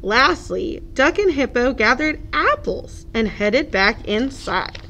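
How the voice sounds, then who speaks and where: A woman's voice: on the high side, sing-song, slow, pushed and bright, slight background noise. A woman narrating a children's picture book expressively, as a librarian at story time.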